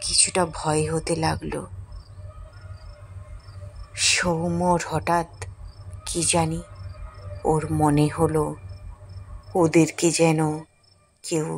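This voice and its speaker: A woman narrating a scripted horror story with deliberate, suspenseful phrasing. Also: sits low, little pitch movement, vocal fry, a little hiss or hum.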